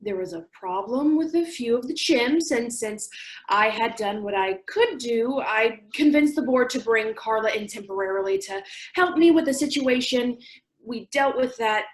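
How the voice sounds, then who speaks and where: An actress playing an earnest, defensive zoo worker in a staged play reading, explaining herself carefully. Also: female voice, a little high, animated, normal speed, lightly acted. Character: earnest, defensive woman zoo employee backing her partner. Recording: echoey room.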